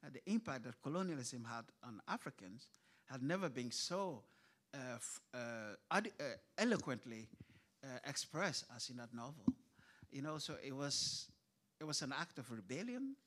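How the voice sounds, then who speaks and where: Panel speaker, man, thoughtful halting delivery, lots of ums, live event stage. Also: mid-range voice, big pitch swings, fairly bright tone. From grew up in Kuwait. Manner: hesitant, many fillers and restarts. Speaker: writer on a literature panel. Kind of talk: panel discussion.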